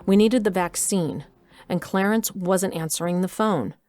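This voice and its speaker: Woman acting a wry middle-aged narrator, slightly low voice, scripted storytelling, music underneath. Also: unhurried, darkish tone, natural voice. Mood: measured storytelling. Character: determined, wry mother narrating her adventure. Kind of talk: first-person scripted narration.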